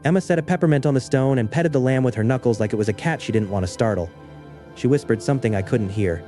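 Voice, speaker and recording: A man narrating a scripted first-person horror story in a measured, understated way. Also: medium pitch, dark tone, faint music behind.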